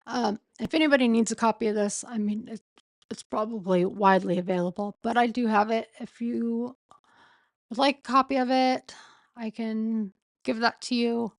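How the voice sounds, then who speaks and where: A woman with a sore throat and a failing voice, reading a legal filing aloud in a slow, halting way and often stopping to correct herself. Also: on the high side, steady tone, no echo, clean studio sound. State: losing her voice, sore throat. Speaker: streamer reading a court filing. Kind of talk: casual late-night live stream.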